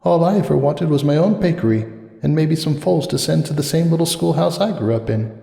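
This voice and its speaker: A man voicing a shy, tired young mare baker who speaks softly and wistfully in a storybook reading. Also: on the high side, animated, brisk, vocal fry, roomy sound, in a large hall, pitched above the performer's own voice. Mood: bitter, wistful. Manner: soft, wistful and hesitant.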